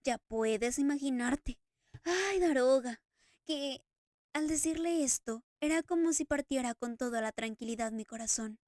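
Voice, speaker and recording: Storybook figure: tearful masked man, fervent and stammering, pitched high and dry, put on by a woman reader. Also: measured pace, a little mellow, dry recording. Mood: mournful. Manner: impassioned, stammering, sobbing outbursts. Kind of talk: audiobook character reading.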